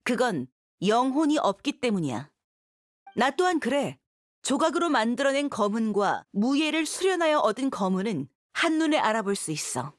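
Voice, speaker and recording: A woman's voice: on the high side, big pitch swings, normal speed, no room sound, clean studio sound. An actress voicing a confident, seasoned young mercenary swordswoman in a video game, frank and self-assured. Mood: proud, explaining.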